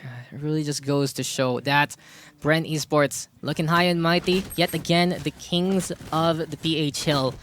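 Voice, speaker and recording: A man with a Filipino accent doing live, animated esports play-by-play, switching between English and Tagalog. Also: fairly high pitch, normal speed, slight background noise. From Philippines. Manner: animated, rising with the action.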